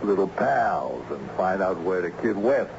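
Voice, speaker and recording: Streetwise sidekick, deep voice, slangy, laconic, old radio drama, noisy sound. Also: male voice, big pitch swings, a little slow, creaky, dull audio, heavily acted. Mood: laconic, coy. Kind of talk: vintage radio drama acting.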